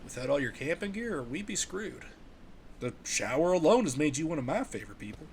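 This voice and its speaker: A man's voice: a little high, very animated, a little fast. A man playing a friendly, reassuring survivor and family man in a comic dub, speaking in an earnest, conversational way. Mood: amiable. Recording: noisy ambient background.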